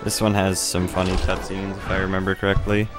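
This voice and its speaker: A man's voice: low voice, completely flat delivery, fairly quick. A young man giving casual commentary as he streams a game. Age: young adult. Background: game music.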